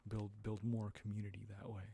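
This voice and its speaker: Man, American accent, ASMR streamer, soft-spoken, whispery, gravelly, background noise. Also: on the low side, little pitch movement, fairly quick. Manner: soft-spoken, drifting into whispers, chuckling often.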